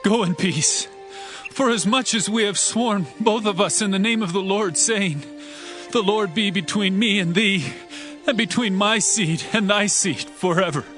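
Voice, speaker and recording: A man's voice: high-pitched, a little slow, a bit bright, soft background music. A man playing an earnest, impassioned young prince in a dramatized scripture reading, declaiming urgently in archaic English. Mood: solemn, heartfelt.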